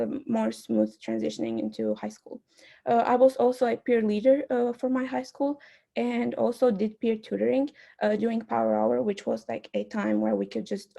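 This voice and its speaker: Young woman, super gravelly fry voice, kinda halting with tons of ums, campaign intro on a call. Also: a little high, warm tone. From Albania. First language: Albanian. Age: young adult. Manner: hesitant, many uh and um fillers. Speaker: student club board candidate. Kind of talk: self-introduction campaign speech.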